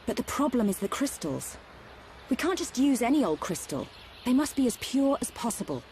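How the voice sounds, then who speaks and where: A woman's voice: fairly high pitch, sing-song, moderate pace, darkish tone, a little hiss or hum. A woman playing an assured, caring young field medic in a video game, explaining things with confidence. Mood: focused. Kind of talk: video game cutscene acting.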